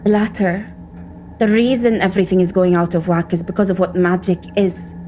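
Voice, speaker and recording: A mellow, soft-edged voice of a cynical female operative in an audio drama, acted by a woman. She is sarcastic and easily irritated as she talks through her report. There is faint noise behind her. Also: fairly low pitch, steady tone, brisk, close to an everyday voice. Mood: exasperated. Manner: dry, cynical, profane.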